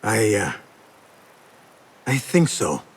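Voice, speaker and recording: A man's voice: on the low side, sing-song, drawn-out words, breathy edge, full character voice. A man playing an impulsive, emotional young thief in a dramatic video game. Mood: uneasy. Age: young adult. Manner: emotional, earnest.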